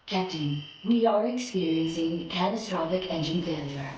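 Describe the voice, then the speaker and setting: An actress plays a composed, analytical game starship officer with a lowish voice. Her wording is formal and precise, and the sound is echoey. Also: normal speed, dull audio, natural voice. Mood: calm, cautious. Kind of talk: scripted game dialogue. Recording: echoey, clear background noise.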